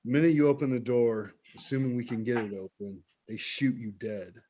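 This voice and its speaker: A man's voice: medium pitch, brisk, dark tone, a little hiss or hum, close to an everyday voice. A man playing a blunt, fatalistic, cynical character in a horror script reading.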